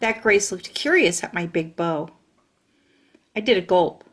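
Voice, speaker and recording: A woman's voice: fairly low pitch, animated, a little slow, fairly bright tone, husky, heavily acted. A woman voicing a brash, chatty kindergarten girl who tells her own story in childish grammar. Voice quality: childlike, bossy, ungrammatical storytelling. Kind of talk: audiobook narration.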